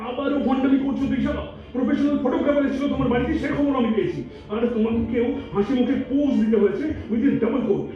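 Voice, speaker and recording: A man's voice: very high-pitched, quick pace, few pauses, faint music behind. A man acting a hurt young lover, echoey hall. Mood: accusing. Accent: Bengali, Kolkata. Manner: theatrical, emphatic, emotive. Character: hurt, accusing young man lover, earnest and intense, Sougata. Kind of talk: staged audio drama acting. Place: multipurpose hall stage in Kolkata. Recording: echoey hall, distant, muffled, faint noise.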